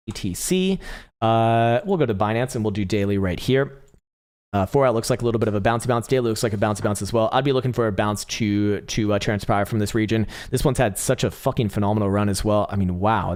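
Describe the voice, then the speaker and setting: A young man hosting a live crypto chart stream, talking casually and profanely, laughing often and starting to lose his voice. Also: on the low side. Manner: rapid, profane, laughs often, restarts words. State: losing his voice. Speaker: livestream host, crypto chart analyst.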